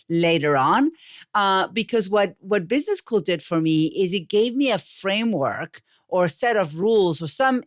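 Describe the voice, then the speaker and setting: A woman's voice: on the low side, no echo, studio quality. A woman in her forties with an Argentine Spanish accent, telling her story conversationally as an interview guest. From Buenos Aires, Argentina. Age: 40s. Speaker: podcast guest, communications coach.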